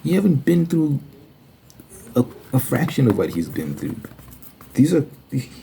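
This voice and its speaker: A man's voice: mid-range voice, sing-song, unhurried, gravelly, mellow, slight background noise, poor audio. A man giving an informal, earnest Bible study monologue in an ASMR style, repeating phrases for emphasis.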